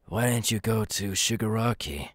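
A man playing a cool, sly, menacing young supervillain in an ASMR audio roleplay, speaking slowly and deliberately. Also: on the low side, no echo, clean studio sound, lightly acted. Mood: probing, cool.

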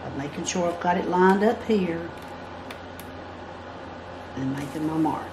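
Low-voiced woman explaining a drawing step by step. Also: a little slow, noisy background. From United States. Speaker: craft instructor. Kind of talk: drawing tutorial. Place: indoors at a work table.

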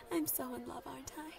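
A woman's voice: high voice, vocal fry, exaggerated voice. A young woman voice acting a lovestruck, chatty student gushing dramatically to friends in an audio roleplay. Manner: gushing, dramatic, babbly, sighing. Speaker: voice actor. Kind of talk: audio roleplay, one-sided conversation with friends.

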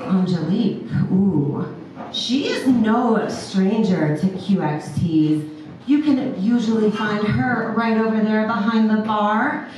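A woman's voice: medium pitch, takes it slowly. A woman in her thirties hosting a burlesque show, teasing the crowd as she introduces each act. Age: 30s. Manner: playful, teasing crowd work. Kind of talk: emcee banter introducing acts. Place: brick-walled club stage, indoors. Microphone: handheld mic, recorded by far camera. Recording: echoey club from far off, with background noise.

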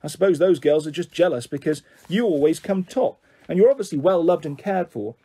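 A man's voice: on the high side, expressive, fairly quick, dark tone, slightly rough. A man voicing a worried, protective mother, fretful and indignant, in an audiobook. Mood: reassuring. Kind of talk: audiobook character voice.

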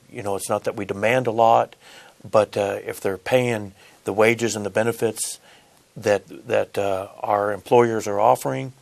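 A man's voice: on the low side, gravelly, darkish tone. A middle-aged man, a union apprenticeship coordinator answering in a studio interview, earnest and deferential on a lapel mic. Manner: earnest, deferential, says "yes, sir".

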